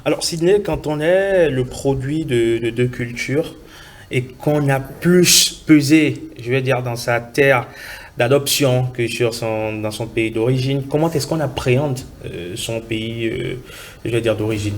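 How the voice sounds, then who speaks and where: A man co-hosting a TV show, asking questions in a measured, formal way. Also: mid-range voice. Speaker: TV co-host. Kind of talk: formal TV interview. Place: TV studio set.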